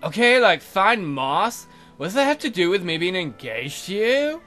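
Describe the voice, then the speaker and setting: A man acts out a young fantasy maiden from a visual novel. She is whiny and easily exasperated, with a high, swooping voice. Her talk is slangy and ditzy, and music plays underneath. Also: sing-song, normal speed, sharp tone, full character voice. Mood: indignant.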